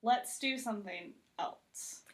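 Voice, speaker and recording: A woman's voice: on the high side, slow, rough recording. A woman speaking as a guest critic in a casual podcast chat, giving opinions informally in short, emphatic bursts.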